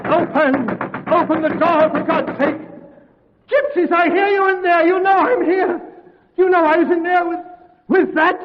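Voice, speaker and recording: An actor playing a desperate, haunted young Englishman held captive in an old-time radio horror play, speaking with melodramatic dread and dramatic pauses. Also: very high voice, steady tone. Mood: frantic. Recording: muffled old radio recording, music behind.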